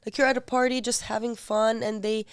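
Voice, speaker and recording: A woman's voice: fairly high pitch, little pitch movement, brisk, clean tone, no echo, clean studio sound. A young woman from Lebanon, a podcast guest, chatting casually with lots of "like" and acting out the people she quotes. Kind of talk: casual chat.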